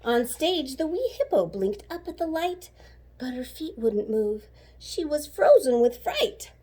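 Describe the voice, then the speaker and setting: A woman reading a children's story aloud like a teacher, animated and rhythmic with the rhymes. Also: high-pitched, unhurried. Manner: animated, rhythmic rhyming delivery.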